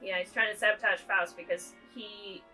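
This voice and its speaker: A woman's voice: medium pitch, steady tone, moderate pace, pushed and bright, rough recording. A woman co-hosting a casual movie-watching chat, joking as she narrates the plot aloud. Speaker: co-host. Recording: piano music behind.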